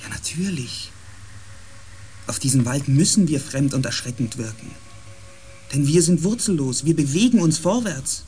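An actor plays a thoughtful male scientist in a science fiction radio drama. His voice is high and very animated. He questions and reasons aloud with emphasis. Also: moderate pace, pauses often, a little hiss or hum, natural voice. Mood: reflective. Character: thoughtful, inquisitive scientist on space expedition.

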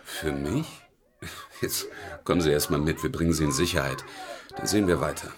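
An actor playing a stubborn, dry police detective in a radio drama, questioning people in a blunt, matter-of-fact way. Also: male voice, a bass voice, brisk, background noise. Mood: calming, brisk. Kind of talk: naturalistic radio drama dialogue.

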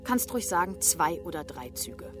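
An actress playing a tough street prostitute, mocking and hard-edged toward a former lover, in a German radio drama. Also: female voice, fairly low pitch, very animated, moderate pace, music in the background. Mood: bitter, teasing. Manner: sardonic, teasing, hard-edged.

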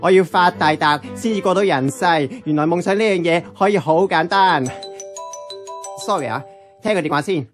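An actress playing a brash, money-minded slacker nearing thirty, with a Hong Kong Cantonese accent and cocky, slangy radio drama delivery. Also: female voice, fairly low pitch, moderate pace, soft background music, natural voice. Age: young adult.

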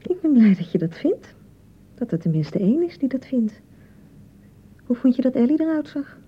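An actress from a radio play playing an anxious, touchy young newlywed bride in a hesitant, rambling way, with a Netherlands Dutch accent. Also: female voice, very animated, normal speed, slightly rough, full character voice. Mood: piqued, reproachful. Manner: hesitant, rambling, self-correcting. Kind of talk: naturalistic radio play dialogue. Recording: muffled radio broadcast with rumbling noise.

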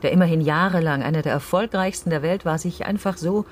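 An actress playing a bitter, guilt-ridden wife who speaks tersely and defiantly in a naturalistic radio drama. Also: female voice, lowish voice, fast, a little hiss or hum, natural voice. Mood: guarded.

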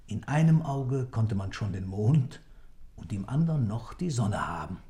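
A man playing a composed schemer who recounts events in a radio play, measured and deliberate. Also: on the low side, very animated, mellow.

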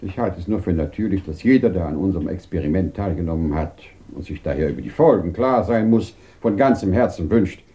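An actor playing an earnest, principled professor in a 1950s German radio drama, speaking formally and gravely. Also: male voice, sits low, expressive, warm tone. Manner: formal, measured protest. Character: earnest, dignified professor, morally troubled and principled.

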